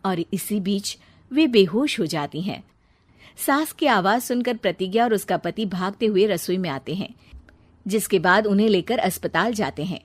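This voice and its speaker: A woman's voice: medium pitch, animated, moderate pace. A woman narrating a scripted family story in a storybook style. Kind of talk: scripted storybook narration in Hindi cartoon tale.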